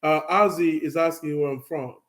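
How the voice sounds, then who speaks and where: A man from Cameroon with a French-tinged Cameroonian accent joins a livestream as a friendly guest, his voice sitting a little high for a man. He chats casually and chuckles easily. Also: steady tone, normal speed, fairly bright tone. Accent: French-influenced Cameroonian English. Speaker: guest, co-host.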